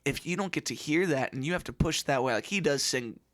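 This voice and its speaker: A man's voice: medium pitch, animated, a little fast, dry recording, clean studio sound. Laid-back man musician hosting a chat. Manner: loose, rambling, profane, joking. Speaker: podcast host and musician. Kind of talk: casual chat about music gear.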